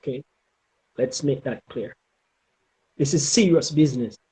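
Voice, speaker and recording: A middle-aged man with a Jamaican accent giving advice in an interview, speaking emphatically and deliberately and often checking in with "okay?". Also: mid-range voice, mellow. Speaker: interview guest, former air traffic controller.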